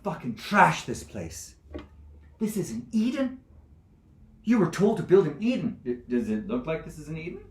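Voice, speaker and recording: A man's voice: low-pitched, expressive, moderate pace. A man playing a menacing, foul-mouthed zealot who sits as divine judge, in a theatrical monologue with a profane, taunting, domineering manner. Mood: indignant. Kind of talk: theatrical monologue, swinging from whispers to screams. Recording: echoey room with clear background noise.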